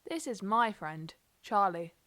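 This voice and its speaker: A woman's voice: mid-range voice, animated, measured pace, sharp tone. A woman playing a friendly, polite young nurse in an audio drama, courteous and cheerful.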